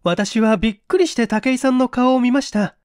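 An anxious, well-mannered young woman, acted by a woman narrator recounts her ordeal in a high voice. She is polite and flustered, in dramatic audiobook style. The recording is dry and intimate. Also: fairly quick, darkish tone, no echo, natural voice. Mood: startled, polite.

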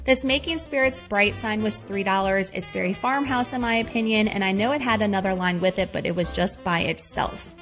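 A woman's voice: mid-range voice, brisk, few pauses, a bit bright, faint music behind. Chatty Southern woman filming a store walk. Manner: chatty, upbeat, describing items and prices. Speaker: shopping vlogger. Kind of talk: casual shop-with-me narration. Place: large retail store, indoors. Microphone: handheld phone camera.

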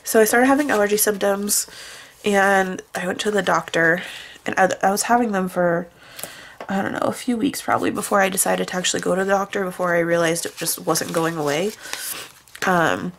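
Stuffy-nosed woman chatting, slightly low voice. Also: fairly quick, vocal fry, slight background noise. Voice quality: nasal. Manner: rambling, chatty, with many fillers. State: stuffy nose, nasal. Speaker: planner vlogger. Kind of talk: casual chat while decorating a planner. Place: at a desk indoors, hands on a planner.

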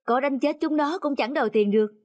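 A woman's voice: high voice, brisk, natural voice. A woman playing a rich landlord's nagging, calculating wife in a cartoon folk tale.

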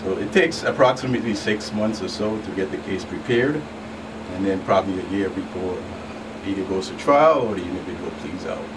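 A man's voice: low voice, animated, brisk. A middle-aged man, an investigating agent, explaining procedures step by step as a radio show guest. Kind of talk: explanatory radio interview answers. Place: small radio studio. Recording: noisy, distant mic with room hum.

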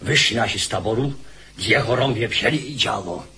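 An actor playing a sober war chronicler reporting a siege and march in a dramatised radio narration. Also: male voice, lowish voice, normal speed, sharp tone, slight background noise. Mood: sober, tense.

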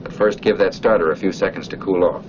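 A man's voice: low-pitched, fairly even intonation, fast, close to an everyday voice. A man playing a stern, needling flight instructor who narrates a 1940s military training film and addresses the trainee directly. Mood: chiding. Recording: muffled old film soundtrack, clear noise.

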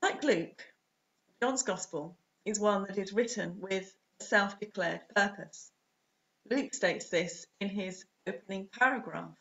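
A woman's voice: medium pitch, fairly bright tone. Woman preaching, slightly dull recording. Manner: measured, deliberate phrasing in short units. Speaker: preacher, Anglican priest. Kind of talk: scripted sermon read to camera. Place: small home room, indoors. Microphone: webcam or laptop at desk distance. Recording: dull, muffled webcam audio.

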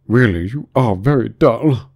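A man voicing a mournful, touchy old turtle from a storybook, with a drawn-out, sighing delivery. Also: fairly low pitch, darkish tone. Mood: indignant. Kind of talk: storybook character reading.

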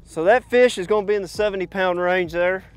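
A man's voice: high-pitched, brisk, smooth, no room sound, faint music behind. A man in his thirties giving hands-on instructions as a fishing guide, out on a boat. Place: outdoors on a boat on a lake. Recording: wind and boat noise outdoors.

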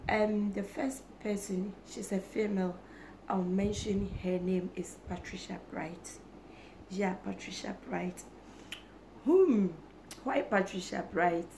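A hoarse woman, chatting slowly to camera for her channel and often repeating herself. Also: mid-range voice, dark tone, a little hiss or hum, rough recording. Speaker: YouTuber. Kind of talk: casual talk to camera. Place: small indoor room.

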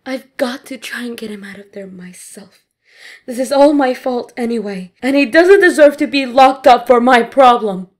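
Woman as an anxious mother, fairly high-voiced. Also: moderate pace, darkish tone, close to an everyday voice. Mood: guilty resolve. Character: anxious, self-blaming, devoted mother. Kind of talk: audio drama acting.

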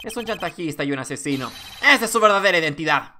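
A man's voice: on the high side, expressive, quick pace, sharp tone, a little hiss or hum. A man voicing an earnest, determined young male defense attorney in a video game, speaking firmly. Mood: accusing. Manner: firm, declarative.

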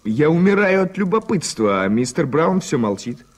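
An actor playing an eager, curious newspaper journalist with a playfully dramatic streak in an early 1980s radio play. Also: male voice, a little high, very animated, moderate pace.

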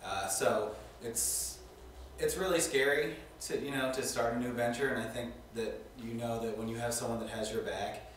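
A middle-aged man, a restaurant co-owner, answering interview questions in a halting way with many fillers. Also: medium pitch, steady tone, recorded from a distance, slight background noise. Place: small studio on a couch. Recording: echoey room from off mic.

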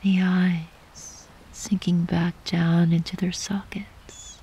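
A woman guiding a sleep meditation in a soft, breathy, whispery voice, gently and soothingly, with long unhurried pauses. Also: on the low side, flat delivery, low-quality recording. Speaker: yoga nidra meditation guide.

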